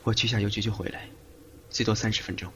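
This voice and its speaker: A man's voice: lowish voice, little pitch movement, quick pace, fairly bright tone, lightly acted. A man playing a breezy, cocky young lover who scolds affectionately in an amateur audio drama, on a hissy home recording.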